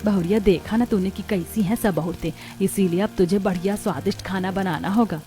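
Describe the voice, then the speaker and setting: A woman's voice: medium pitch, brisk, dark tone, soft background music, close to an everyday voice. A woman voicing a kindly, proud village mother-in-law with homely rustic speech in a cartoon story. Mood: concerned.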